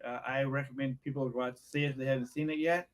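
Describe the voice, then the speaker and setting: A soft-spoken man co-hosting a casual movie review podcast, talking quietly. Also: medium pitch, steady tone, very fast. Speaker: podcast co-host.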